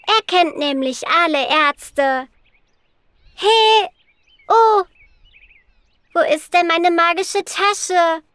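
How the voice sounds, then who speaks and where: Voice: very high voice, bright, forceful tone, no echo, exaggerated voice. A performer voicing a cheerful, caring little girl in a children's educational cartoon, explaining things simply. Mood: puzzled, urgent. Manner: simple, clearly explained sentences for small children. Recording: children's music behind the voice.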